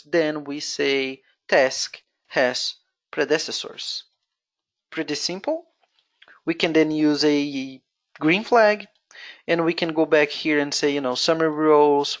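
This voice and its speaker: A Brazilian-accented presenter whose first language is Portuguese, walking through a software screen-share tutorial step by step and thinking aloud as they go. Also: mid-range voice. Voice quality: explains step by step, thinking aloud with frequent "you know". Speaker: webinar presenter.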